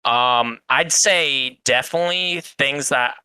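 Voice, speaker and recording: Casual male podcast guest, very bright crisp voice, close and dry, hedges and rambles through explanations. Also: mid-range voice, takes it slowly, no echo. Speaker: guest reviewer on a fan podcast. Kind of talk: casual discussion.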